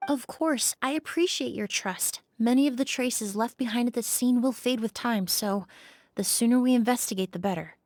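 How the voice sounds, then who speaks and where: Video game investigator, young woman played by an actress: fairly high voice, calm, gentle, polite, precise and measured. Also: no echo, lightly acted. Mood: calm, analytical.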